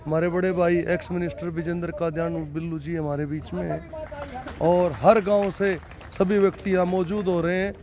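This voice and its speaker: Indignant man speaking outdoors, high voice. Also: a little mellow. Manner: indignant, emphatic, repetitive. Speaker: protest organizer. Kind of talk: impassioned protest speech to reporter. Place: outdoors at a protest.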